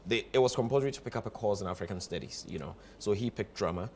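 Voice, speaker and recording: A low-pitched Ghanaian man talks as a guest in a casual television interview. He repeats phrases to make his point. There is some noise behind his voice. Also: fast, darkish tone. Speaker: interview guest, theatre producer. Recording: clear background noise.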